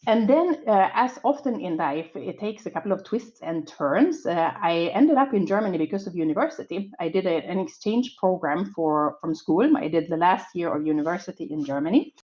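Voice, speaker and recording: A Swedish-accented woman in her forties gives an online conference talk in a fairly low voice. She sounds upbeat and optimistic and tells her story with many small fillers. The audio is a bit dull and lo-fi, like a video call. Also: expressive, moderate pace, few pauses, a little mellow. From Sweden. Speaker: conference speaker.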